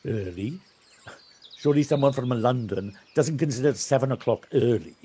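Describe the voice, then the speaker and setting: An actor playing a cheery, unhurried villager in an audio play, with a gravelly voice and a genial, lyrical manner. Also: male voice, medium pitch, dark tone, natural voice. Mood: gently chiding. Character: cheery, unhurried Welsh village local who loves nature. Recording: noisy home recording on personal device.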